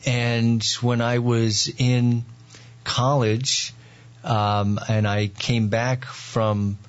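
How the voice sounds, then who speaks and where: A man with a New York accent, a priest, telling his story in an interview with many pauses and fillers. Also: mid-range voice, level tone, lo-fi sound. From Long Island, New York. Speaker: priest, guest.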